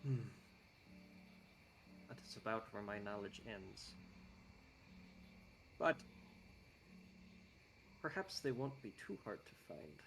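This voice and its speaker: A man's voice: on the low side, expressive, takes it slowly, long pauses, a bit bright, a little hiss or hum, pitched below the performer's own voice. A man voicing a courteous, scholarly male desert guide in a put-on Icelandic accent, speaking formally and explaining at length.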